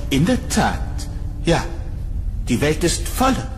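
An actor playing an eerie, morbid man in a horror audio drama, halting and brooding as if speaking in riddles. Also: a little high, huge pitch swings, heavily acted. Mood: cryptic, brooding. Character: eerie, cryptic, morbid man lurking in a cellar. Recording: long hall reverb, loud music behind.

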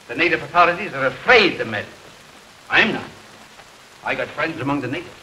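A man's voice: a little high, close to an everyday voice. An older man playing a feisty, fearless preacher in a 1930s film acting style. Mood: confiding, defiant. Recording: hissy, noisy 1930s film soundtrack. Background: rain and hiss.

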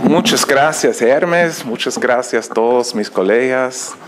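A man's voice: mid-range voice, heavy background noise. A middle-aged man with an American English accent, a native English speaker, giving a prepared, earnest keynote at an auditorium podium. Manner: slow, careful, earnest delivery. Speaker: keynote speaker, project director. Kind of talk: prepared speech in a second language. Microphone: podium microphone.

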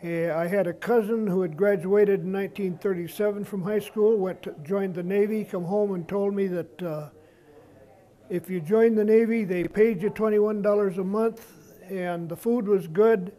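Old american vet telling his story, pretty high voice, soft mellow tone. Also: fairly even intonation, moderate pace, low-quality recording. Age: 70s or older. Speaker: war veteran interviewee. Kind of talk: recollecting in an interview. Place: indoor interview studio. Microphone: lapel mic.

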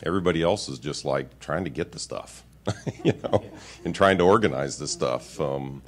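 Very deep-voiced quick-talking man. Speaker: consultant. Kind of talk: panel discussion remarks.

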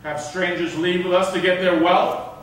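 Imperious governor, high-voiced, echoing hall. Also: male voice, little pitch movement, moderate pace, a bit bright. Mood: scornful. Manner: declamatory, commanding. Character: imperious, scornful governor of Malta. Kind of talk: classical verse stage reading. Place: stage of an auditorium, indoors. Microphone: distant from the audience area. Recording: echoey concert hall, far microphone.

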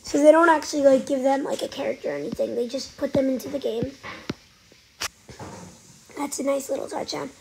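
A woman with a cold giving casual, excitable commentary while playing a game, sometimes dropping to a whisper. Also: sits high, a little fast, vocal fry, long pauses, low-quality recording. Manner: chatty, excitable, trailing off into whispers. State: has a cold. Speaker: gaming channel host. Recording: faint background noise.

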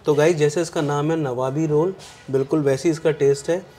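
A man's voice: fairly high pitch, steady tone, a little fast, warm tone, soft background music. Upbeat man co-hosting a food vlog. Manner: upbeat, mixes English phrases. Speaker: travel vlogger co-host. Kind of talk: casual vlog commentary. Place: hotel restaurant and balcony.

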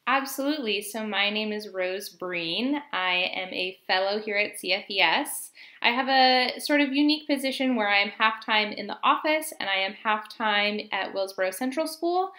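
A woman's voice: medium pitch, lively intonation, normal speed, pushed and bright. Friendly young woman moderating a call. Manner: friendly, filler-heavy, organizing. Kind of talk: moderating a video call panel. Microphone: webcam mic on video call.

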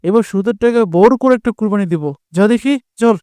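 Man acting a greedy village moneylender, high-pitched, mellow. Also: fast talker, clear, resonant tone, no echo, clean studio sound, close to an everyday voice. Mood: threatening, scornful. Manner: bossy, scolding. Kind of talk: Bengali cartoon voice acting.

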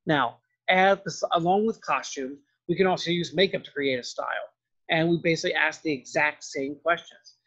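Higher-voiced male lecturer, muffled audio. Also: normal speed, a bit bright. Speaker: professor lecturing. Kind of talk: informal film-costume lecture. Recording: dull lo-fi audio.

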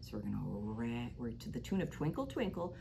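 A middle-aged woman reading a picture book aloud to children as a library storytime host, animated and sing-song, now and then dropping to a stage whisper. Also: extremely low pitch, a little fast, creaky, a little hiss or hum. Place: small library room, indoors. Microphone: lapel mic.

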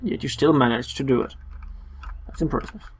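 A man giving casual, sarcastic and playful commentary on a horror game, swearing and reading game text aloud between quips, with a husky voice. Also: medium pitch, moderate pace. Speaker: let's play commentator.

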